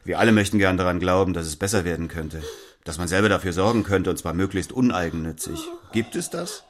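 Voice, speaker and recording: A man's voice: deep voice, quick pace, a little hiss or hum, natural voice. An actor playing a detached, self-important businessman husband in an audio drama, dry and condescending. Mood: condescending, philosophising. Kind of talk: theatrical audio play acting.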